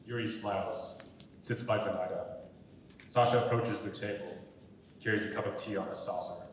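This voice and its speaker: A young man with a fairly low voice reads stage directions as scripted narration. He sounds distant in a very echoey room, over faint rumbling noise. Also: steady tone, moderate pace. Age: young adult. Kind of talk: scripted narration at a dramatic reading.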